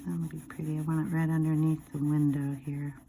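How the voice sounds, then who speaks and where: A woman with a low, very dark and soft-edged voice talks through a craft demonstration casually. She murmurs as if thinking aloud, unhurried and absorbed in what she is doing. There is faint noise behind her, with pencil rubbing. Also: flat delivery. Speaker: craft tutorial host.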